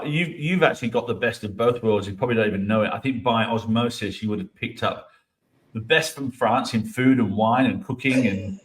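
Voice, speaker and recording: A middle-aged man with an ordinary mid-range voice hosts a casual interview chat. He talks in long, rambling stretches, enthusiastic and quick to stammer and restart his sentences. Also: mid-range voice, poor audio. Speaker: host.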